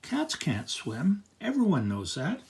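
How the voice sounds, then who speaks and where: A man's voice: on the high side, huge pitch swings, takes it slowly, creaky, noisy background, exaggerated voice. An older man voicing a bossy, incredulous flying cat cousin in a children's storybook, exclaiming and giving instructions. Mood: astonished.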